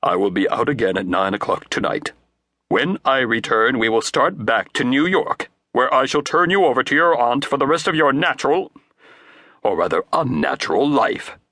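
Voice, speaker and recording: A man voices a stern, grandiloquent gray-haired uncle who lectures slowly. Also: medium pitch, expressive, sharp tone, dry recording, clean studio sound, voice pushed up.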